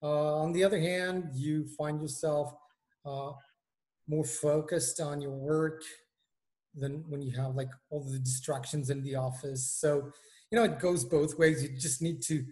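Israeli guy in his 40s on a video call, lots of uh and restarts, voice a bit on the high side. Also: darkish tone. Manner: hesitant, many fillers and restarts. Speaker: guest, engineering manager. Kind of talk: casual video-call interview.

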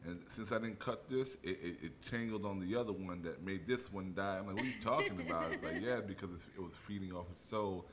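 A man's voice: low-pitched, brisk, hardly any pauses, a crowd in the background. A man hosting a casual radio chat, rambling and joking as he acts out other voices. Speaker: radio host.

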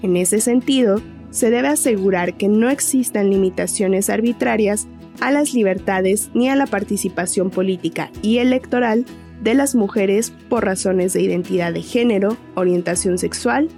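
A woman with a mid-range voice narrates formal legal and civic information. She sounds steady and explanatory. Faint guitar music sits behind her. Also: mid-range voice, normal speed. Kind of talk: scripted informational voice-over.

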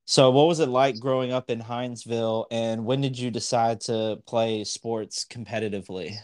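Relaxed young man asking questions. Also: mid-range voice, moderate pace. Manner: hesitant, loose phrasing with fillers. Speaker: podcast host. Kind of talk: interview questions.

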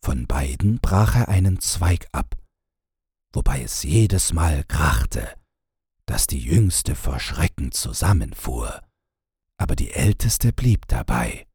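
A man narrating a scripted fairy tale as an audiobook, in a measured storytelling manner. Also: very deep voice, sing-song, darkish tone, husky, no echo, clean studio sound.

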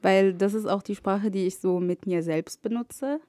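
A woman's voice: medium pitch, moderate pace, mellow, no echo. A woman from Egypt, a native Arabic speaker, chatting as a podcast guest and often slipping English words into her talk. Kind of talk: casual chat.

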